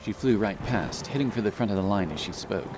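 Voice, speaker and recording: A man with a low voice narrates a fantasy adventure. The delivery is scripted, dramatic storytelling, set against prominent music. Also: lively intonation, fairly quick.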